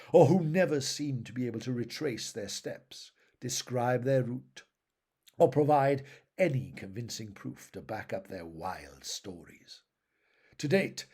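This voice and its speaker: A man reading fantasy fiction aloud as a narrator, in a measured, dramatic storytelling style with phrases that tail off softly. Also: medium pitch, breathy edge.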